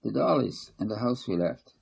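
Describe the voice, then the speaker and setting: A man's voice: mid-range voice, lively intonation, moderate pace, creaky, darkish tone, breathy edge, pitched above the performer's own voice, heavily acted. A man voicing a cute, dramatic, babyish little sister with simple childish phrasing in a storybook. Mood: puzzled.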